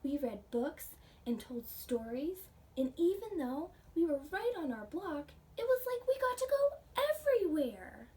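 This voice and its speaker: A woman's voice: high-pitched, sing-song, measured pace, few pauses, warm tone, pitched above the performer's own voice. A woman reading the part of an earnest young schoolgirl who shares with her class in a children's storybook. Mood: earnest, proud. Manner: earnest, gaining confidence. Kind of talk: children's storybook read-aloud. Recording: distant mic with faint background noise.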